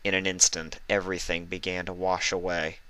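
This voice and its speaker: Deep, crisp male story narrator. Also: level tone, brisk, sharp tone, low-quality recording. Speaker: fan fiction reader, narrator. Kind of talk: dramatic scripted story narration.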